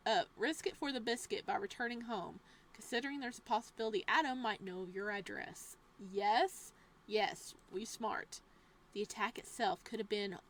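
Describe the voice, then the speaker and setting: A young woman playing a visual novel. She reads the narration softly and breaks into loud, joking asides, casual and sometimes profane. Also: fairly high pitch. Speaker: game streamer. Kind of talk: reading a visual novel aloud with commentary.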